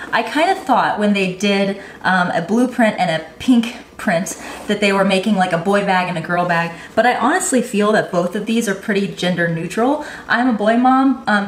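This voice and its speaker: A woman's voice: mid-range voice, echoey room, slight background noise. A woman who runs an online baby boutique, reviewing bags in a vlog, nasal from a cold and gushing with enthusiasm.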